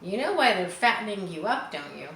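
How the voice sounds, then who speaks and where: A woman's voice: medium pitch, sing-song, fairly quick, vocal fry, roomy sound, background noise. A woman voicing a grim, gossipy old female sheep from a storybook, with a matter-of-fact, snappish manner. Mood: knowing, gossipy.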